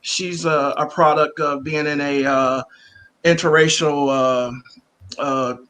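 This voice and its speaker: Bright-voiced male podcast host. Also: fairly high pitch, steady tone, takes it slowly. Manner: rambling, with many fillers and restarts. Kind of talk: casual interview chat.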